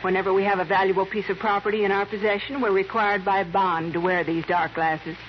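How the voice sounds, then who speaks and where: A woman's voice: mid-range voice, little pitch movement, fairly quick, faint music behind, lightly acted. Composed woman gem dealer played by an actress, old radio. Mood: composed, confiding. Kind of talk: 1950s radio drama acting. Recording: muffled 1950s radio recording with hiss.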